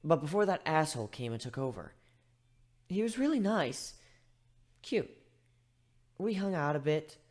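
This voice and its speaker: A man's voice: fairly high pitch, sing-song, measured pace, long pauses, darkish tone. A man voicing a carefree, playful cat woman healer in a story reading. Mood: breezy.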